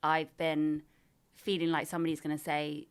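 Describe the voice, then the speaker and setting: A woman, bluntly chatting on a podcast and telling a story in halting pieces. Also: sits low, monotone, clean tone. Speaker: podcast co-host. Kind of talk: casual chat.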